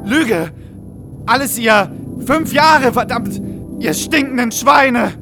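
An actress playing a distraught, raging woman who shouts accusations in short, hard outbursts in a drama. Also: fairly high pitch, lively intonation, slow pace, over music. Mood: enraged, desperate. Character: distraught raging accuser screaming of lies and betrayal. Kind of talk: acted German drama.

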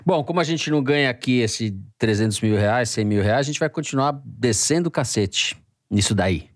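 Joking Brazilian podcast host, dry close sound. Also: male voice, medium pitch, animated, normal speed, no echo. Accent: Brazilian Portuguese. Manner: joking, teasing banter. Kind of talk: casual panel chat, reading listener mail.

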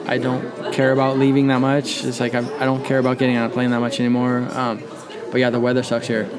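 Rambling man over loud crowd noise. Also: mid-range voice, completely flat delivery, over music. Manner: rambling, halting, swears casually. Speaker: guest, surf photographer. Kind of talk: casual interview chat.

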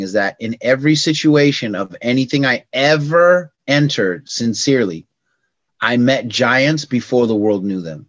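A middle-aged man from California telling stories in a casual interview, slangy and animated, acting out quotes in a raised voice. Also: mid-range voice, dry recording, muffled recording. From California, United States. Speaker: podcast guest.

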